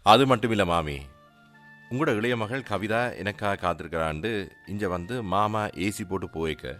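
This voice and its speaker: A man's voice: fairly low pitch, moderate pace, a bit bright, faint music behind, lightly acted. An actor with a Sri Lankan Tamil accent plays a young man earnestly explaining to his aunt in a radio drama.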